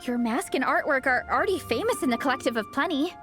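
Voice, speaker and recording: A woman's voice: high-pitched, animated, quick pace, over music. A woman voicing a supportive, confident young athlete in a video game, sincere and encouraging in the way she talks.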